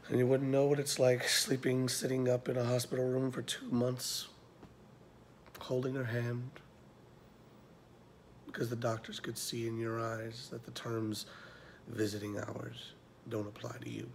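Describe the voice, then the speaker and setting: A man performing a dramatic monologue as a weary, wounded older mentor, speaking in a measured way with long pauses and hushed phrase endings. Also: mid-range voice, flat delivery, creaky, slight background noise. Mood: tender, aching. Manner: measured, pausing, drops to near whisper. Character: weary older male mentor, tender, wounded, quietly confronting. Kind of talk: slow dramatic film monologue audition.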